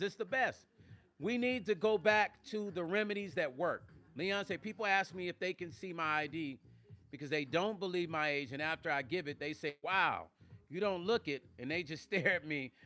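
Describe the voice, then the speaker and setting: A man in his fifties hosting a casual livestream, reading viewer comments and talking straight to his audience in an animated, preachy way. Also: on the high side. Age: 50s. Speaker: vlog host reading live chat.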